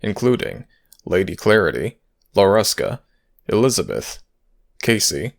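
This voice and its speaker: A man's voice: on the low side, lively intonation, a little slow, no echo, studio quality. A man who makes audio roleplays, giving a scripted thank-you to his listeners.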